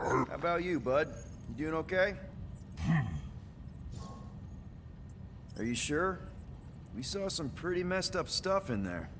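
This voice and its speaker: An actor playing an easygoing, awkwardly earnest young space crew leader in a cinematic video game, talking casually and haltingly. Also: male voice, on the high side, sing-song, in a large hall, background noise. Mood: concerned, friendly.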